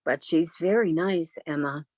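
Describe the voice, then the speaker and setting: A woman's voice: sits low, unhurried, a little mellow, no room sound. A woman playing a timid, yielding younger spinster sister in a radio drama, hesitant and apologetic. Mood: timid pleading. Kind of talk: old time radio drama acting.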